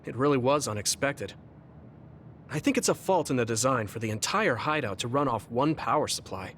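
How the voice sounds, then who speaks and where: A man playing a gentle, friendly, easygoing young hero in an anime game dub. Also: mid-range voice, expressive, normal speed, a little hiss or hum, natural voice. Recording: music clearly behind the voice.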